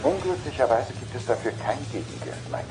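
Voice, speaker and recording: A man's voice: mid-range voice, animated, fast talker, over music, natural voice. An actor playing a polite, articulate male car computer with a formal manner, in a 1980s German radio drama. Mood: concerned.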